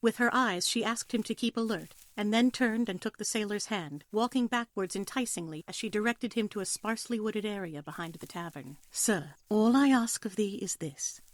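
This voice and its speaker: A woman narrates an audio play. Her voice sits at a middle pitch, and she reads the scripted prose as dramatic storytelling. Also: fairly quick, few pauses, no room sound.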